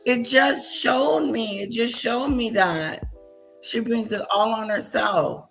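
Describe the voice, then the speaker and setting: A woman's voice: fairly high pitch, slow pace, vocal fry, a bit bright, soft background music. A woman doing reaction commentary in a blunt way, repeating her points and mimicking other people's words. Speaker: commentary host. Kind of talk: reaction commentary on played clips.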